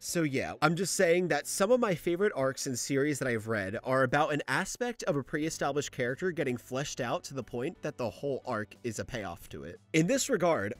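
A man's voice: fairly high pitch, no echo. A man narrating a scripted video essay in an opinionated, conversational way, with asides. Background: background music.

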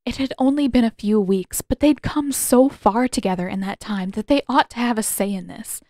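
A woman reading scripted fiction aloud as a narrator, with expressive, dramatic delivery. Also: on the high side, moderate pace, no room sound, studio quality. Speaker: audiobook narrator of fan fiction.

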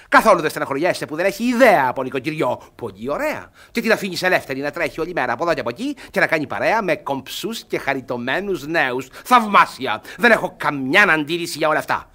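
An actor playing a stern, possessive older guardian in a Greek radio theatre comedy, emphatic and sarcastic. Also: male voice, a little high, pushed and bright. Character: stern, grumbling, possessive older male guardian, old-fashioned.

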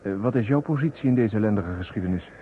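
A man's voice: fairly low pitch, lively intonation, quick pace, lightly acted. An actor playing a courteous, inquisitive male friend who sounds concerned, in an old radio drama. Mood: concerned, curious. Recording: tinny, noisy vintage radio recording.

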